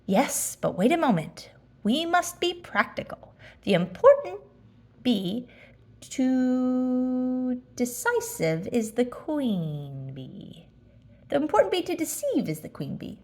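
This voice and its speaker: Woman acting little male toy bear, storybook, high-pitched, very animated, earnest, anxious, declamatory. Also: slow, heavily acted. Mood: earnest, practical. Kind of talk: children's storybook character voice.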